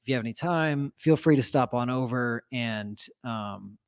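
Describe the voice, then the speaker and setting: A man's voice: medium pitch, normal speed, clean tone. Rambling man in casual chat. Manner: rambling, many fillers and restarts. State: recovering from lost voice. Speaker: co-host telling a home renovation story.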